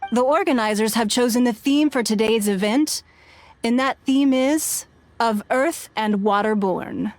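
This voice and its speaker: A woman's voice: fairly high pitch, a little mellow, dry recording, close to an everyday voice. A woman voicing a poised, authoritative noblewoman. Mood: composed. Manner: measured, composed, formal.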